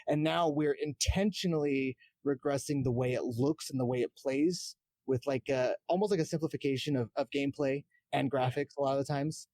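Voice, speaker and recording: A man's voice: medium pitch, brisk, hardly any pauses, a little mellow, dry recording. A man in casual gaming chat as a podcast guest, explaining things in a rambling way. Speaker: podcast guest, game streamer.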